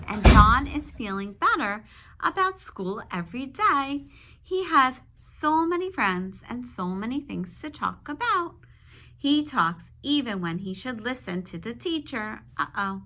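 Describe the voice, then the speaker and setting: A woman teacher reading a children's book aloud, pausing to explain simply and check in with her young listeners. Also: fairly high pitch, big pitch swings, pushed and bright. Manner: instructive, addressing young children with check-in questions.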